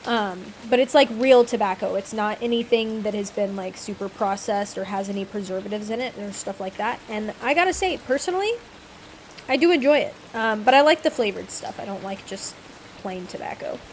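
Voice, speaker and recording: A woman's voice: medium pitch, a little fast, a little hiss or hum. A woman live streamer giving casual commentary on a game and reading its text aloud. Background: game music.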